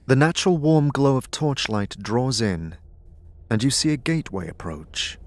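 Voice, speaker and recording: A man giving scripted fantasy narration in a steady, second-person storytelling voice. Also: medium pitch, normal speed, no room sound.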